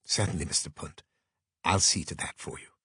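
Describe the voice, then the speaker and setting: Man putting on a soft, tactful female secretary. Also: low-pitched, a little fast, husky, dry recording, studio quality, heavily acted. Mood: obliging. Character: brisk, deferential female secretary, Miss Kane. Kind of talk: audiobook character voice.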